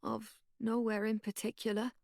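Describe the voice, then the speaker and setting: A woman's voice: fairly high pitch, level tone, moderate pace, gravelly, darkish tone, no echo, studio quality. A woman voicing an earnest young boy who speaks hesitantly and stumbles over fillers.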